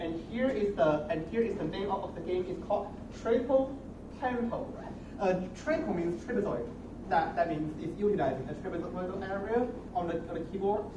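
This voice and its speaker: A young man giving an impromptu project demonstration, haltingly, often repeating and restarting his words. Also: sits high. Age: young adult. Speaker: student presenter. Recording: roomy, distant mic with noticeable noise.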